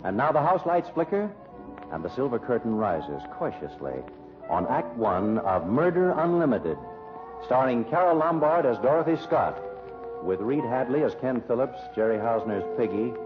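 A man's voice: mid-range voice, very animated, moderate pace, lightly acted. A man playing a theatrical, wry announcer narrating an old-time radio play. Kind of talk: 1940s radio scripted narration. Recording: muffled recording, music behind.

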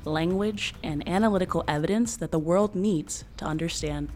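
A woman's voice: lowish voice, normal speed, echoey room, slight background noise. A woman hosting an interview podcast, mixing a scripted intro with casual chat full of "um" and "like". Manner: warm laughing interjections, lots of "um" and "like".

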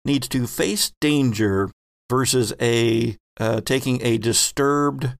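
A man serving as game master, narrating scenes slowly and explaining the rules to players. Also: mid-range voice, creaky, no room sound, clean studio sound.

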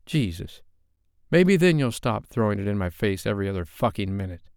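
A man's voice: lowish voice, very animated, fairly quick, gravelly, darkish tone, clear, resonant tone, no echo, studio quality. A man voicing an upset young woman in an audiobook, speaking in a raised, strained, heated way. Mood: angry, bitter.

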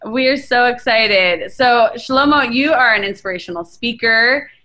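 A woman's voice: a little high, measured pace, sharp tone. A young woman co-hosting a podcast, upbeat and enthusiastic as she interviews a guest. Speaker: podcast co-host. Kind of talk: scripted intro and interview.

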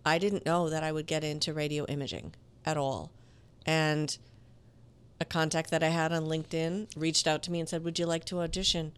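Low, smooth-voiced woman voice actor. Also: moderate pace. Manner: animated, tells stories with quoted dialogue. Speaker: voice actor guest. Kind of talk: conversational interview storytelling.

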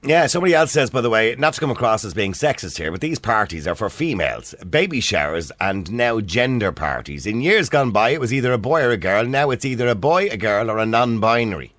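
Fast-talking Irish radio host, lively. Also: male voice, medium pitch, dry recording. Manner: quick, joking, interrupts callers. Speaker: radio talk show host. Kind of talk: phone-in radio chat. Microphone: studio microphone.

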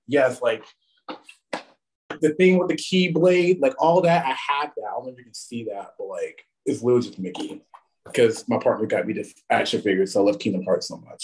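A man's voice: medium pitch, a little mellow, distant mic, poor audio. A man whose first language is French, talking with a lisp as a wrestling guest in a rambling, self-deprecating casual chat.

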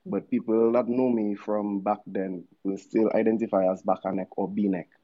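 A man's voice: fairly low pitch, little pitch movement, moderate pace, muffled recording. A man in his thirties from Dominica with a Dominican accent, a musician telling his story in a casual, laid-back interview with slangy asides. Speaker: musician guest.

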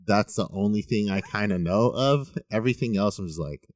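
A man's voice: lowish voice, brisk, no room sound, studio quality. A man in his late twenties co-hosting a podcast in casual chat, laughing often and swearing freely as he rambles. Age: 20s. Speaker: podcast co-host.

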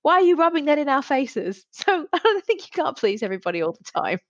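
A woman's voice: sits high, big pitch swings, brisk, no room sound. A middle-aged woman in casual conversation, giving candid, reflective opinions, on slightly dull lo-fi audio. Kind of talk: casual chat.

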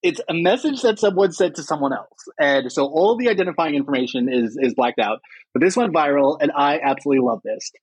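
A man co-hosting a podcast in casual comedic chat, excitable and giggly in manner. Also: fairly high pitch, a little fast, husky, dry recording, rough recording. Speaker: podcast co-host.

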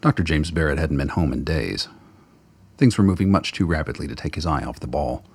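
A man narrating a radio play in a scripted, dramatic storytelling style with a gravelly, husky voice. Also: a bass voice, lively intonation, fairly quick, a little mellow, dry recording.

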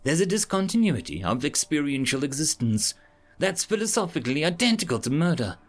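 Man doing a weeping female alien, mournful, raised pitch, storybook. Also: very animated, brisk. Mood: explaining. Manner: sobbing, mournful.

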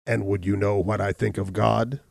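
Man voicing a sneering, gravelly hunchbacked landowner. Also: lowish voice, level tone, normal speed, no room sound, studio quality. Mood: defiant. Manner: defiant, boastful, sneering. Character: cruel, mocking hunchbacked landowner, scornful and blasphemous.

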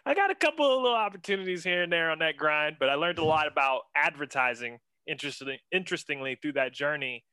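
A man's voice: fairly high pitch, animated, quick pace, few pauses, sharp tone, clear, resonant tone, dry recording. A young man from western Pennsylvania, a guest chatting casually and enthusiastically about his work, full of slang. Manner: laid-back, slangy, enthusiastic, says man a lot. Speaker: guest, senior art director. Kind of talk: casual interview chat.

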